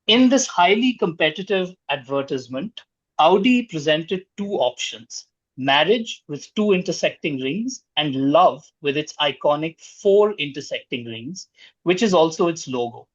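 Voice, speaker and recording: Quiz master, male, higher-pitched voice, reads questions and calls scores, dull online-call sound. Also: animated, measured pace, no echo. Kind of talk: reading out quiz questions and scoring answers.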